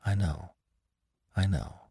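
Male narrator doing a hushed calm attendant, deep and slow, audiobook. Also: breathy edge, no room sound, studio quality, full character voice. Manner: quiet, hushed. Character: calm, soothing man attending the dying.

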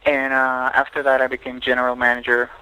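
A middle-aged man from Puerto Rico speaks with a crisp, bright tone. He is a photographer talking in a podcast interview. He works through his thoughts slowly, full of restarts and fillers. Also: mid-range voice, monotone, no echo, poor audio. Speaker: photographer guest.

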